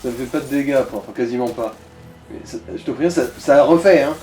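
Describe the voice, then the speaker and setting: A man's voice: medium pitch, fast, dark tone, recorded from a distance, slight background noise, low-quality recording. A man giving casual, informal gaming commentary, coaching a player and swearing now and then. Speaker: let's play co-host guiding the player.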